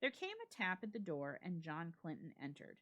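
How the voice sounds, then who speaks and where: A woman narrates a classic ghost story in a somewhat low voice with wide, lively swings of pitch. Her delivery is theatrical and suspenseful, leaning deliberately on key words. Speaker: library staff storyteller.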